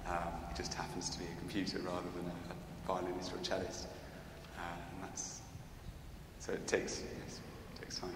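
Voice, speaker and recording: A man's voice: sits low, monotone, moderate pace. A man from Scotland, a cellist, answering audience questions in a church, thoughtful and hesitant with many fillers. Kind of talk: unscripted Q&A answers. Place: large church. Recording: echoey church with background noise.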